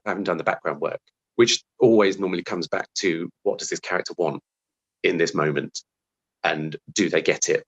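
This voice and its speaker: A man's voice: low-pitched, fairly bright tone. A man talking casually as an interview guest about his craft, thinking aloud and restarting his phrases as he goes.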